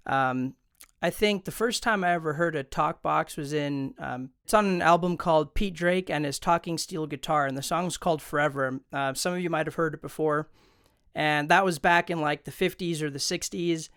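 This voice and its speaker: Man, tutorial host, informal explainer, very clear smooth voice, conversational, dry close-miked sound. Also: on the high side, moderate pace, fairly bright tone, dry recording. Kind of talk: casual instructional explainer. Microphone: close studio condenser microphone.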